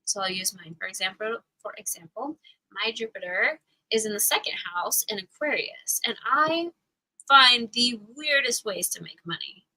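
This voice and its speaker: Bright-voiced chatty woman astrology teacher. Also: fairly high pitch, animated, normal speed, rough recording. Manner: chatty, filler-heavy, laughs often. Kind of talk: casual live class teaching.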